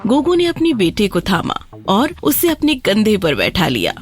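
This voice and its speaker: A woman's voice: medium pitch, wildly swooping pitch. A woman narrating a scripted fairy tale for children as a storyteller. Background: background music.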